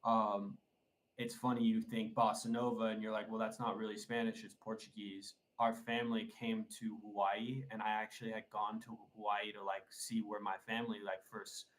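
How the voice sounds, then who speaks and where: Young guy producer talking music, low flat voice, lots of um and like. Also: normal speed, a little hiss or hum, poor audio. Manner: rambling, enthusiastic storytelling with many fillers. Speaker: music producer, interview guest. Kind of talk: casual interview chat.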